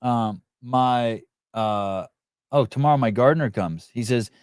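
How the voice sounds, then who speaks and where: A man hosting a podcast, chatting in a casual, profane, animated way and telling stories with put-on voices. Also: medium pitch, unhurried, clean tone, dry recording, studio quality. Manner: profane, animated storyteller who does voices. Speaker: podcast host. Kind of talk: casual banter. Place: California home.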